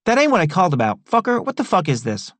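A man voicing a brash, foul-mouthed younger man who talks in an excitable, rambling way. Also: high voice, dry recording, pitched above the performer's own voice, heavily acted. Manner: profane, rambling, loud and quick.

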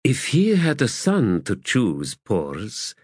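A man's voice: mid-range voice, huge pitch swings, slow, darkish tone, breathy edge, dry recording, clean studio sound, voice pushed up, exaggerated voice. A man voicing a no-nonsense female army commander who speaks in a clipped, commanding way. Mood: firm.

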